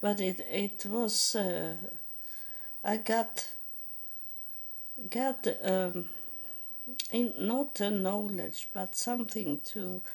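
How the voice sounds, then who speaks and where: Slow, creaky Swedish woman speaking English. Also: medium pitch, a little mellow. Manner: halting, searching for words, many restarts. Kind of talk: slow personal spiritual monologue.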